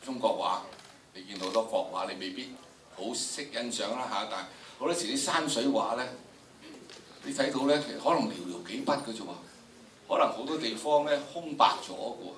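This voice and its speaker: A man's voice: medium pitch, a little fast, a bit bright. An older man with a Hong Kong Cantonese accent teaches a drama workshop in a theatrical, emphatic lecturing style, addressing students directly. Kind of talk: lecture with dramatic recitation demonstrations. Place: large lecture room, indoors. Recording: echoey lecture hall, distant, noisy.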